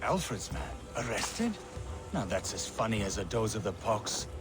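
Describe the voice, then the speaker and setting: Low, bitter medieval prisoner. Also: male voice, big pitch swings, moderate pace. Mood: bitter, mocking. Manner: bitter, sardonic. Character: bitter, sardonic condemned commoner in medieval England. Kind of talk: dramatic video game dialogue. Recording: clear background noise.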